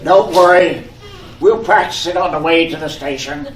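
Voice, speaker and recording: A man's voice: on the high side, normal speed, lightly acted. A man playing a helpful, easygoing cab driver in an old-time radio comedy sketch. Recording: echoey room, distant mic.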